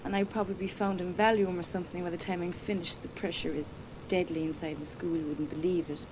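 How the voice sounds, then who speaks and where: Lively Cork teenage girl on hissy tape. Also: on the low side. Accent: Cork Irish. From Ireland. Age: late teens. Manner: lively, joking, quick to protest. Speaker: schoolgirl recording a tape letter. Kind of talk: casual chat recorded on a home cassette. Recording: hissy 1980s cassette tape.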